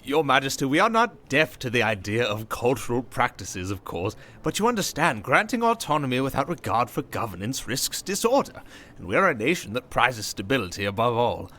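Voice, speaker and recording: A man playing a smooth, condescending prime minister in a fantasy audio drama, speaking in a pompous, formal and evasive manner. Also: medium pitch, sing-song, fairly quick, pushed and bright. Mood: placating, smug.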